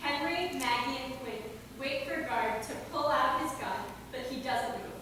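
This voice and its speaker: Female stage-direction narrator, high voice, distant mic in a noisy reverberant theater. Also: normal speed, pushed and bright. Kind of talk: scripted narration at a staged reading. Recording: echoey hall, distant, noisy.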